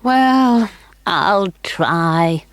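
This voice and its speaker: A woman putting on a proud little girl with a cold heart, prim and grudging. The voice sits high and bounces with lively pitch, recorded close and dry. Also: very slow, no room sound.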